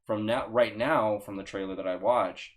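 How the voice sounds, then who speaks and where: Low, halting male podcast co-host. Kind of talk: casual group chat.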